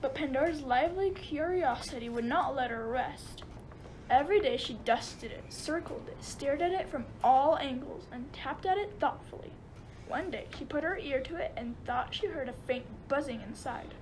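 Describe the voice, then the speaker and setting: Woman as high-voiced myth storyteller. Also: normal speed, a little mellow, lightly acted, rough recording. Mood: storytelling, dramatic. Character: storyteller of a comic Greek myth. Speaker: narrator. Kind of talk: scripted storybook narration. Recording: noticeable background noise.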